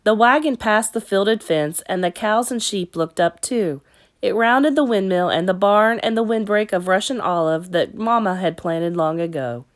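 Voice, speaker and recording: A woman teacher narrating a children's story in an expressive read-aloud style. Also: mid-range voice, moderate pace.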